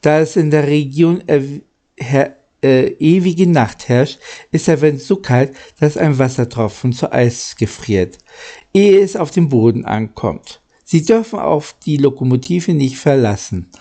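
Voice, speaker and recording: A man reading the part of a polite, earnest guide who explains gravely and formally, halting at times, in a storybook. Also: medium pitch, animated, dark tone. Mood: earnest warning.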